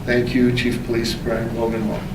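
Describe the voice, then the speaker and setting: A man's voice: medium pitch, monotone, normal speed. A man chairing a city council meeting as mayor, formally reading reports aloud and moving through the agenda. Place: indoor council meeting room. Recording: echoey meeting room, distant mic, background noise.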